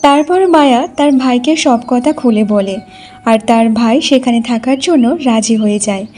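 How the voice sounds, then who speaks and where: A woman's voice: fairly high pitch, moderate pace, warm tone. A woman narrating a scripted cartoon story as the storyteller.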